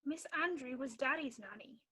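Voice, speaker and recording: Woman as anxious young girl, earnest, childlike, high pitch, bright tone. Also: expressive, normal speed, husky, exaggerated voice. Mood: worried, earnest. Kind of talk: amateur musical read-through.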